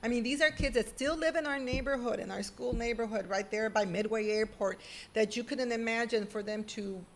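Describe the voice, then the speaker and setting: Lively middle-aged woman. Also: fairly high pitch, brisk. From Puerto Rico. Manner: animated, emphatic storytelling with lively quoted asides. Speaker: guest, former school principal. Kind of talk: conversational interview.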